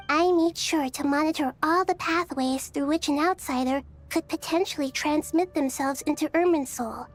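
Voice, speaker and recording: Gentle childlike goddess voiced by a woman, high voice. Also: lightly acted. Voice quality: soft, youthful. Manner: formal, measured, earnest. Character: gentle, wise, childlike female deity. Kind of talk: video game dialogue. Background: background music.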